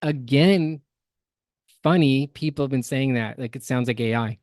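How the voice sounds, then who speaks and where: A man's voice: mid-range voice, moderate pace, a little mellow, no room sound. Man with dry, close podcast sound. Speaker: podcast co-host. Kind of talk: casual critical discussion.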